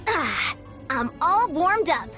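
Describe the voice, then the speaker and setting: A woman's voice: high voice, big pitch swings, slow, bright, forceful tone, soft background music, heavily acted. A woman voicing a spirited, cool young fighter in an anime game, calling out short, punchy battle lines. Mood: fired up. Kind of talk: anime video game voice acting.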